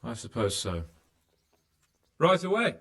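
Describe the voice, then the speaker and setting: A man's voice: mid-range voice, sing-song, slow pace, creaky, pushed and bright, exaggerated voice. An actor playing a carefree young nobleman in a video game, who jokes wryly about a scolding he expects. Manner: wry, joking and self-mocking. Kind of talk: video game cutscene acting.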